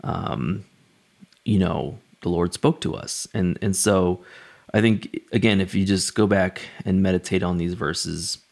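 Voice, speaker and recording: Guy with a low deep voice, casual devotional talk, kinda halting and reflective, conversational. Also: vocal fry, darkish tone. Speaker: pastor or devotional speaker. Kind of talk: casual spoken devotional with personal storytelling.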